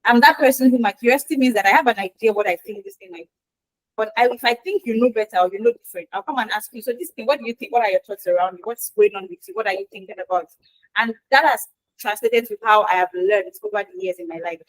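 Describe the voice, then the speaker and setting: A woman, a Yoruba speaker, answering interview questions in a lively, chatty way with acted-out quotes. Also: medium pitch, quick pace, no echo, low-quality recording. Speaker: guest expert in quality assurance.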